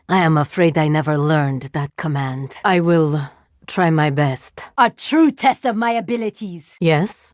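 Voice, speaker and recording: Deadpan, eerily calm cyborg agent. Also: female voice, lowish voice, no room sound, studio quality. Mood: cool, resolute. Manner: formal, deadpan, precise diction. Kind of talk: video game voice lines.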